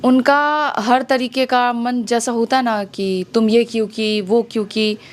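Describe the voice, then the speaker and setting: A woman's voice: on the high side, steady tone, measured pace. A woman with a Bihari accent giving her account as an interview guest, indignant and challenging, often using rhetorical questions. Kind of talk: conversational interview testimony.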